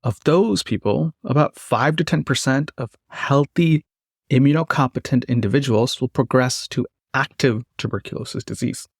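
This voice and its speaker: A man hosting a health podcast, reading a measured scripted introduction and then asking his guests questions. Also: medium pitch, gravelly, no room sound, clean studio sound. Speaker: podcast host.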